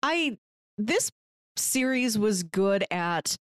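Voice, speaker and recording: A woman's voice: medium pitch, very animated, extremely slow, clear, resonant tone, no room sound, clean studio sound. A woman giving casual, conversational commentary, peppered with "like" and bits of imagined dialogue. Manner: voices imagined dialogue as examples. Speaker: podcast co-host.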